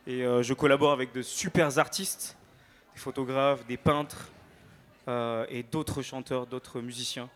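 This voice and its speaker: A man's voice: medium pitch, fairly even intonation, unhurried. A male singer chatting casually as an interview guest on stage, informal and playful.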